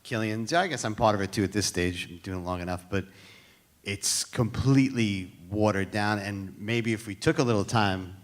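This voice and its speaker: A man's voice: on the low side, moderate pace. A man from Brooklyn, a musician on a panel, talking casually with wry, joking asides. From Brooklyn, USA.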